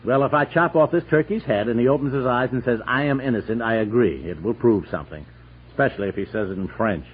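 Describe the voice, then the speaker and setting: An actor playing a sardonic, put-upon American husband in a 1960s radio comedy, grumbling drily. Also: male voice, medium pitch, fast talker, narrow, tinny audio, slight background noise, lightly acted. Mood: dry, sardonic. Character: exasperated, sardonic suburban husband and father.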